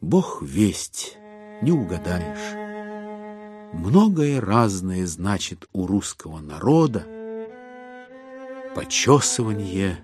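A man narrating a literary audio drama in a measured, expressive storytelling manner with deliberate pauses. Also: low-pitched. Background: music with violin.

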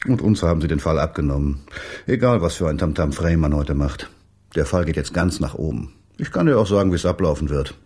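An actor playing a gruff, cynical police detective with a husky voice and a sardonic manner in a radio play. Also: male voice, very deep voice, expressive, brisk, darkish tone, exaggerated voice. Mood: cynical, resentful. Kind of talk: naturalistic radio play acting.

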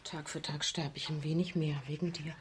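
An actress playing an overwrought, accusing middle-aged wife in a radio drama, fragile and dramatic. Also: female voice, low-pitched, flat delivery, normal speed, slight background noise, close to an everyday voice. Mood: accusing, distraught.

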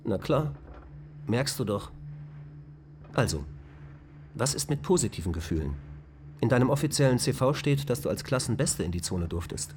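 A man's voice: medium pitch, big pitch swings, moderate pace, long pauses, background noise. An actor playing a matter-of-fact male AI companion program in a radio drama. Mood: clinical.

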